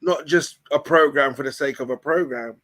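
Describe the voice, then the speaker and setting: A hoarse man with a British accent, a channel founder telling how it began in a rambling way, with many fillers. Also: mid-range voice, normal speed, a bit bright. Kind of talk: casual chat on a video call show.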